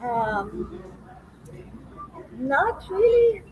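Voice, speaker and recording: A woman's voice: high-pitched, sing-song, drawn-out words, narrow, tinny audio. A woman from Cuba, a native Spanish speaker with a Cuban accent, chatting casually as a livestream guest. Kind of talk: casual chat. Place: restaurant. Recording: faint restaurant background noise.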